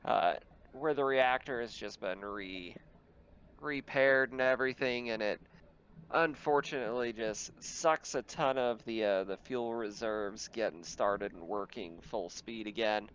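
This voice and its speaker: A man's voice: on the low side, a little slow, a bit bright, lo-fi sound, a little hiss or hum. A man running a tabletop game as game master, mixing casual narration with banter among players.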